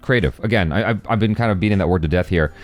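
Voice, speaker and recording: Low-voiced man chatting casually. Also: racing, soft background music. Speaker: reaction video host. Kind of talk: casual opinionated chat.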